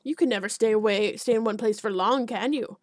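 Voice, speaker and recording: A woman voicing a small, podgy, hot-tempered village alderman who blusters and shouts. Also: mid-range voice, a little fast, dry recording, clean studio sound, lightly acted. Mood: hearty.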